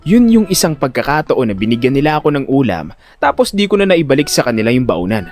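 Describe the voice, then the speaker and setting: Man playing a jittery, animated Filipino everyman, music behind. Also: mid-range voice, natural voice. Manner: expressive, dramatic. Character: jittery, vain, good-natured young everyman telling his story. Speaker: narrator and lead actor. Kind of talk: audio drama storytelling in first person.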